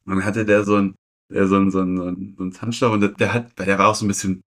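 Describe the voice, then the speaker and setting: A man in his twenties chatting casually as a podcast co-host, telling stories in a halting, rambling way. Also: low-pitched, little pitch movement. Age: 20s. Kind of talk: casual chat.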